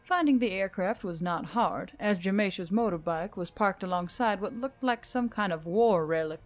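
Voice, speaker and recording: A woman's voice: medium pitch, normal speed. A woman reading scripted fiction as a story narrator.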